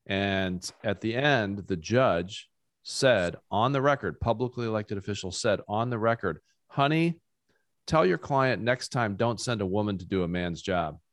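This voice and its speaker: A man with a very deep voice hosts a casual podcast chat, recorded close and dry. He is from rural Ohio and keeps jumping in with questions and stammered restarts. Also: lively intonation, no echo. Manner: quick interjections, stammered restarts. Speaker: podcast host.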